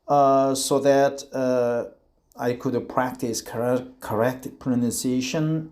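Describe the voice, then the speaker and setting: Slow, halting Korean-accented man. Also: mid-range voice. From South Korea. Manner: slow and halting, repeats phrases to practice. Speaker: office worker practicing English. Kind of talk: reading an article aloud for practice.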